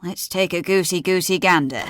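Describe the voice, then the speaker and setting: An actress playing a blunt, sardonic weaver with a Northern English accent and a dry, colloquial manner in a comedy audio drama. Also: female voice, fairly low pitch, normal speed, a bit bright, a little hiss or hum, close to an everyday voice. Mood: dry exasperation. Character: blunt, sardonic female weaver helping the queen.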